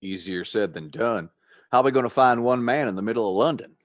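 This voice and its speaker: A man's voice: medium pitch, brisk, lightly acted. Blunt, brave man of action played by a man. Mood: bold, impatient. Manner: blunt, colloquial. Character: brave plain-spoken man of action, impatient, warm-hearted. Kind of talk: radio play acting.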